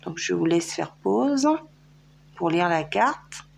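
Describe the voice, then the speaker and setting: A woman's voice: on the low side, animated, slow, gravelly, slightly rough. A French woman giving a casual tarot reading, chatty and hesitant, with many fillers. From France. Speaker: tarot card reader. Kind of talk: casual tarot guidance video.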